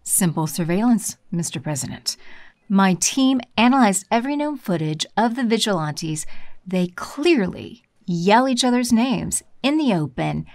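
Scheming villainess for an actress: smug, poised, condescending corporate front, audio drama, close dry studio sound. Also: female voice, medium pitch, darkish tone, no room sound. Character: scheming villain empress posing as smooth corporate woman.

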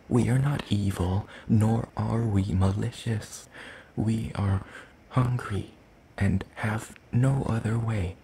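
Hungry soul-eating demon for ASMR roleplay, played by a man: soft, low, creaky, halting, sinister yet apologetic. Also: a little hiss or hum, low-quality recording. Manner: slow, halting, hushed delivery with deliberate pauses.

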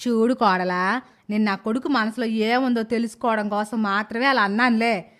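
A woman playing a bossy old grandmother and mother-in-law in an animated moral story, blunt and grumbling. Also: on the high side, moderate pace, lightly acted. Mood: grudging.

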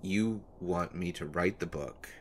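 A man's voice: sits low, a little slow. A man playing an anxious, devoted boyfriend of a pregnant woman in an intimate roleplay audio, speaking in a hesitant, stammering way. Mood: earnest, teasing. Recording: noticeable background noise.

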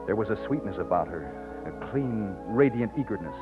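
An actor in an old radio drama playing an earnest, loyal, plain-spoken overseer from Texas. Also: male voice, on the low side, lively intonation, normal speed, music in the background, natural voice. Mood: reflective. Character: earnest, loyal Texan plantation overseer and war veteran. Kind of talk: old-time radio drama acting with narration. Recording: muffled lo-fi old radio recording.

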